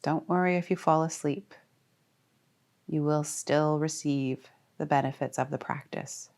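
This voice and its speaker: Low-pitched woman guiding a meditation. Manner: calm, soothing, deliberate instruction with pauses. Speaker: yoga nidra teacher. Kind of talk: guided meditation.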